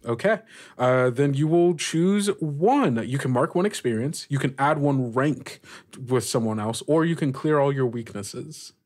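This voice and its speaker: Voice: medium pitch, lively intonation, unhurried, a little mellow. A game master hosting a tabletop session in an informal, slangy, encouraging way, chatting casually with the players.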